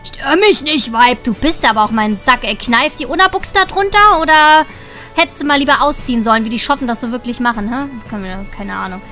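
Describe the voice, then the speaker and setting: A woman with a cold chats through a game playthrough, joking and thinking out loud. Her voice is high and bright, with the game's music playing softly behind her. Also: a little fast. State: has a cold. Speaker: let's play host. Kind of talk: casual gameplay commentary.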